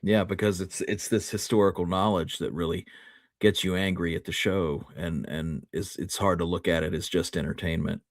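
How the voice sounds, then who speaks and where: Low-voiced American man, casual and opinionated. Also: fairly even intonation, normal speed, no room sound, studio quality. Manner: colloquial, opinionated. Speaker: podcast co-host. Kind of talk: casual history chat.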